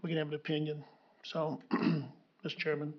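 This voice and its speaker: A man, a county commissioner, giving measured, plainspoken remarks at a meeting, pausing with frequent ums. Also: fairly high pitch, monotone. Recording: dull lo-fi meeting recording.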